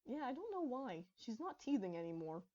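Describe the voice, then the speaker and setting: A woman's voice: a little high, big pitch swings, a little fast, dark tone, dull audio, pitched above the performer's own voice. A woman voicing an apologetic, mildly baffled young pony father in a storybook fan fiction reading. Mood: apologetic, puzzled.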